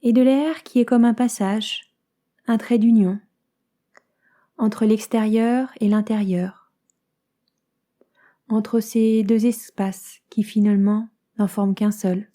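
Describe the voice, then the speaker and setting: A woman guides a yoga nidra meditation as a teacher, calm and soothing, in soft phrases that turn breathy at the ends, with many pauses. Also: fairly high pitch. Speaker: yoga nidra teacher guiding a relaxation session.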